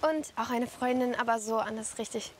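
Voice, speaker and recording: A woman's voice: fairly high pitch, normal speed, lightly acted. A young woman playing a bubbly, cheeky teenage witch girl in a children's audio drama. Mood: cheeky, eager.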